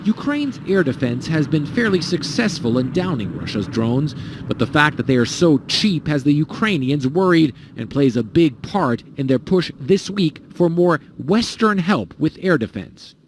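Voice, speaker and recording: A male news correspondent reading a scripted report with measured, dramatic pauses. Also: a little high, sing-song, a little hiss or hum.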